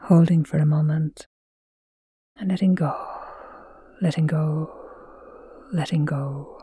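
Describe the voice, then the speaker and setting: A woman gently narrating a guided mindfulness meditation, soothing and measured, her soft voice trailing into breathiness with long pauses between phrases. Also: low voice, steady tone, breathy edge, no echo, clean studio sound.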